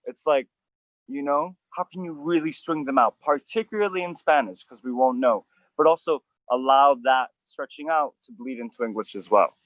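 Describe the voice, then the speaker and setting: A man hosting an outdoor acting coaching session, animated and emphatic, with frequent restarts. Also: medium pitch, normal speed, no echo, poor audio.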